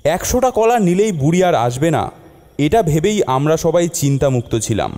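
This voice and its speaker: A man's voice: on the high side, big pitch swings, fairly quick, dark tone. A man voicing an earnest young nephew in a cartoon, telling things expressively. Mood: narrating calmly. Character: worried young nephew who narrates the tale. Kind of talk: cartoon voice acting.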